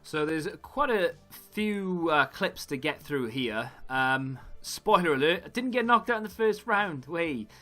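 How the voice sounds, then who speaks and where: A man, slightly hoarse, rambling through casual gaming commentary and correcting himself as he goes. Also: fairly high pitch, lively intonation, measured pace, hardly any pauses, sharp tone, soft background music. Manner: rambling, self-correcting chatter. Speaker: gaming YouTuber.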